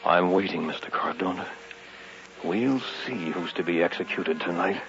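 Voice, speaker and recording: A man's voice: sits low, normal speed. An actor playing a paranoid, desperate man trapped alone in an old radio horror drama, going between muttering and panicked cries. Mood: fearful muttering. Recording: muffled, hissy vintage radio recording.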